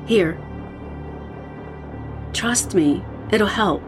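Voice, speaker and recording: A woman's voice: medium pitch, extremely slow. A woman playing an anxious young friend in a horror audio drama, hesitant and consoling, over scary music. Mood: soothing, subdued. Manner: hesitant, soothing.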